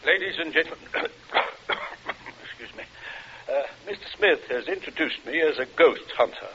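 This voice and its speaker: A grave, pedantic doctor of the paranormal in a vintage radio thriller. His slightly high, husky voice swells and dips with ominous formality on a dull old recording. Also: expressive, normal speed, a bit bright. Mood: earnest. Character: solemn, earnest paranormal investigator doctor. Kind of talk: old-time radio drama acting.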